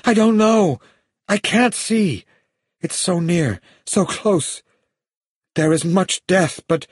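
A man playing a frightened young woman in a spy audiobook. The voice leaps far up in pitch, fragile and distressed, crying out with anguish. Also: animated, slow pace, slightly rough, no room sound, clean studio sound, heavily acted. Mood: frantic.

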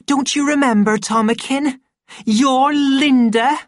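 A woman's voice: high-pitched, slow, no echo, clean studio sound, voice pushed up. A woman voicing a desperate, wronged middle-aged woman in an audiobook, pleading with trembling intensity. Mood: angry, pleading. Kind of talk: audiobook character acting.

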